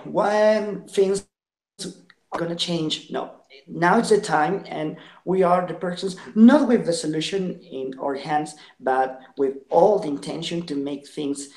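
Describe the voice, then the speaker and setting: A young man from Ecuador with a Latin American Spanish accent, a native Spanish speaker, talking earnestly as an interview guest, with many fillers and pauses. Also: fairly high pitch, darkish tone, reverberant, rough recording. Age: 20s. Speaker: guest, social entrepreneur. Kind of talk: video call interview.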